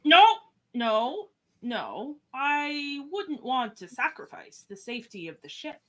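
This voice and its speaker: A woman's voice: high voice, very animated, takes it slowly, a bit bright, full character voice. A woman playing a dignified, authoritative starship captain in a fan radio play. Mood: dismayed. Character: commanding, questioning starship captain, formal and dignified.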